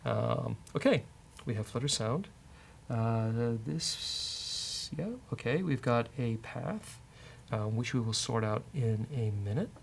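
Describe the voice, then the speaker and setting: A man with a creaky, gravelly voice talks through his code as he goes. He is casual and a bit hesitant, often muttering to himself. There is faint noise behind him. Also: medium pitch, slow pace. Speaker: developer and show host. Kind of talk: casual live coding commentary, thinking aloud.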